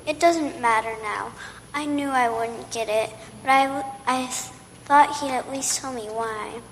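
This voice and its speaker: A woman voicing an earnest, hopeful little girl in a radio play, her lines sometimes wistful and sometimes excitable. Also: on the high side, measured pace, big-room sound, a little hiss or hum, low-quality recording.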